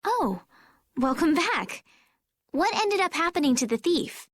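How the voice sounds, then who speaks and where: A woman's voice: extremely high pitch, big pitch swings, a little slow, no echo, clean studio sound, exaggerated voice. A woman voicing a polite, naive teenage noblewoman in a video game, sincere and eager. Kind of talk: English video game dub acting.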